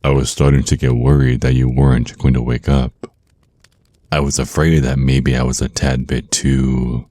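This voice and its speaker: A man voicing a flirty, menacing vampire naga crime lord in an ASMR roleplay, smug and teasing, with deliberate, drawn-out threats. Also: a bass voice, little pitch movement, dark tone, no echo.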